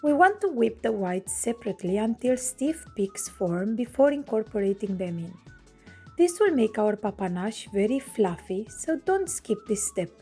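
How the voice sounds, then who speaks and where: A woman's voice: fairly high pitch, big pitch swings, normal speed, a little mellow, soft background music. Romanian-born woman narrating a recipe. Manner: clear step-by-step instructing. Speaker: cooking channel host. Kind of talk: scripted cooking narration.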